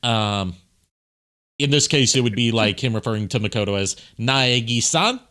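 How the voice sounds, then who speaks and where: A man's voice: medium pitch, lively intonation, normal speed, pushed and bright. A man giving casual commentary over a video as a streamer, explaining things in a rambling way. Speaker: streamer, amateur voice actor.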